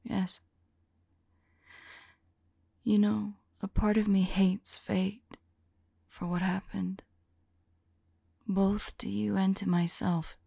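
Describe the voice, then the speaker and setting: ASMR roleplay: ancient female vampire played by a woman, tender and sorrowful, mellow whispery voice with some creak, intimate and hesitant. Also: medium pitch, flat delivery, very slow, pauses often, no echo, heavily acted. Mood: wistful, tender. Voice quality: soft, breathy, whispery. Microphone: close microphone. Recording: close, dry microphone.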